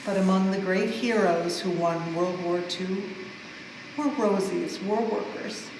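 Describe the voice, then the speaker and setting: Echoey woman reading rhyming storytime aloud. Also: medium pitch. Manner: expressive, measured rhyming delivery. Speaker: museum staff member reading a children's book aloud. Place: indoors in a museum exhibit space. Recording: very echoey reverberant hall, faint background noise.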